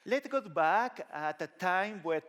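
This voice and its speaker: A man's voice: high voice, slow pace. Spanish-accented man giving a stage talk. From Spain. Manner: lively, conversational, gesturing while walking the stage. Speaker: conference speaker. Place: large dark conference hall stage.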